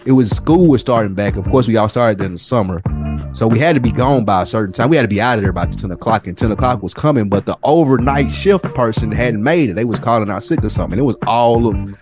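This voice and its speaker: A man's voice: lowish voice, fast talker. An American man in his forties telling stories in a casual, slangy, profane way as a podcast co-host. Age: 40s. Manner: profane, slangy, animated retelling. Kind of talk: casual storytelling chat. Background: music.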